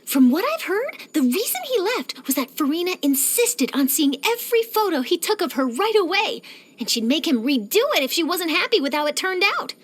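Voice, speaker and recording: A woman voicing an eager, nosy young female journalist in a video game, chatty, theatrical and expressive. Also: extremely high pitch, fairly quick. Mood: gossipy. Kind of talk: animated game character voice lines.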